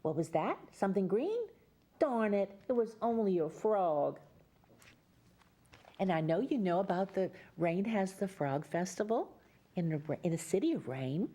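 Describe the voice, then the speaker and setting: A woman reading a picture book aloud as a Mother Goose storyteller, pausing for chatty explanations to young listeners. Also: mid-range voice, animated, warm tone. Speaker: children's storyteller and host.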